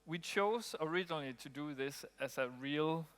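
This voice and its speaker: Guy giving a conference talk, kinda high voice, lots of ums, big echoey hall. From Denmark. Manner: relaxed, with frequent ums and pauses. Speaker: conference speaker. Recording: long hall reverb.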